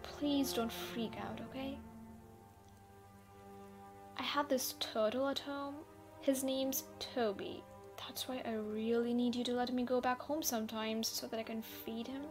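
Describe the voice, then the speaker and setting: A woman's voice: a little high, level tone, unhurried, lightly acted. A woman acting an easygoing, teasing gamer captive in an audio roleplay, speaking in a playful, nonchalant, conversational way. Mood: hesitant. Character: easygoing, teasing female gamer held captive, unbothered adult. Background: background music.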